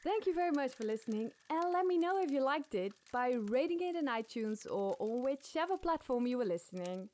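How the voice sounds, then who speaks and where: A woman's voice: high voice, lively intonation, fairly quick, hardly any pauses, a little mellow. A woman podcast host delivering dramatic scripted storytelling. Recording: clear background night ambience noise.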